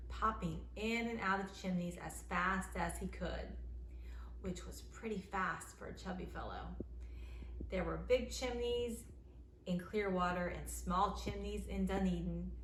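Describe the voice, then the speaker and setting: A woman's voice: medium pitch, a bit bright. A woman reading a children's story aloud as narrator. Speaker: storybook reader. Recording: echoey room, faint noise.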